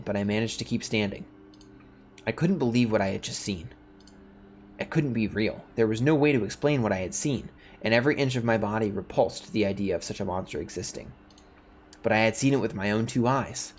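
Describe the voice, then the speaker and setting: A man who hosts gaming videos, reading story text aloud and commenting casually. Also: mid-range voice.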